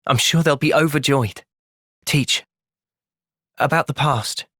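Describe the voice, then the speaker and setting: A man with a British accent playing a thoughtful, gentle young soldier in video game cutscenes, measured and earnest. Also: fairly high pitch, dry recording, studio quality, heavily acted. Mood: quiet, pensive.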